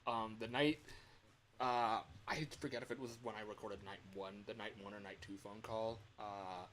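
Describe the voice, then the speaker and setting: A man's voice: lowish voice, low-quality recording. A man chatting casually on a livestream in a rambling, self-deprecating way. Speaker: livestreamer recording game voice lines. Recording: steady fan hum in background.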